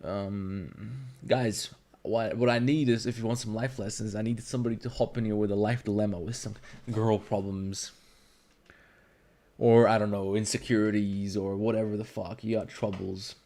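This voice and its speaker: A man with a slightly low voice and a Balkan accent talks through a 3D modeling livestream. He is blunt and swears freely. His English is fluent, though his first language is Serbo-Croatian. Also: steady tone, normal speed, warm tone. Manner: blunt, profane, rambling. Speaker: 3D artist and streamer. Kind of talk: livestream tutorial with chat.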